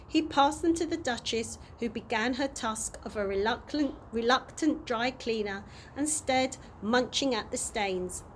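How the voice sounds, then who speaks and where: Female storybook reader, high and lively, expressive delivery for children, audible background noise. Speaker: early years practitioner reading to children. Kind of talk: expressive storybook reading aloud.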